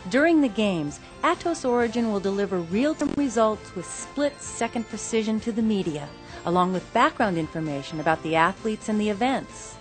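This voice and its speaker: Woman reporter, lively news narration. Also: mid-range voice. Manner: clear, measured delivery. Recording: voice-over with music bed.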